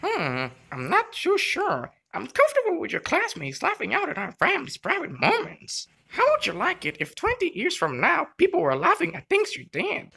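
A woman's voice: extremely high pitch, huge pitch swings, normal speed, gravelly, few pauses, sharp tone, exaggerated voice. A woman voicing an uneasy, scolding cartoon sitcom mother, fretful and disapproving, in an amateur comic dub.

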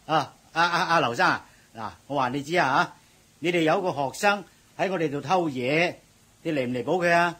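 An actor playing a loud, bossy middle-aged supermarket manager with a husky voice in a radio drama. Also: male voice, on the high side, fairly quick. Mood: officious. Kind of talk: 1980s radio drama acting.

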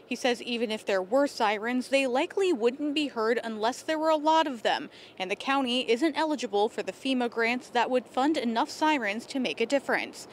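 A woman's voice: a little high, expressive, normal speed, few pauses, a little hiss or hum. A woman in her twenties reporting a scripted television news story into a handheld microphone. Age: 20s. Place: outdoors on a street by a building, live stand-up.

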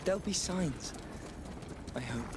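An actor voicing an anxious, observant young man in a grim video game adventure, under loud ambience. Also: on the high side, animated, unhurried, heavy background noise. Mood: grim, wary. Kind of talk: dramatic video game acting.

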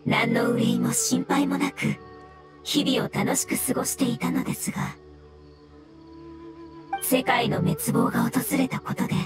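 Game creature: ancient floating mushroom being, high voice, formal and scholarly, expressive melody, music behind. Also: female voice. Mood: wistful. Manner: formal, courteous, explanatory. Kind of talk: Japanese game voice acting, measured dialogue.

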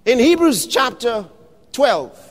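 High-pitched man preaching in a hall. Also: very animated. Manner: impassioned, shouting scripture with long drawn-out phrases. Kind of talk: sermon.

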